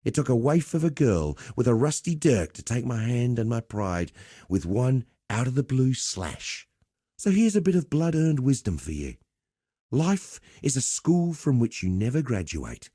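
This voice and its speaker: An actor playing a roguish, cheerful veteran pit fighter in a video game, talking in a colloquial, wry, swaggering storyteller's way. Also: male voice, medium pitch, very animated, unhurried, mellow, breathy edge, no room sound, studio quality. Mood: wry, reflective. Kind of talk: scripted game dialogue.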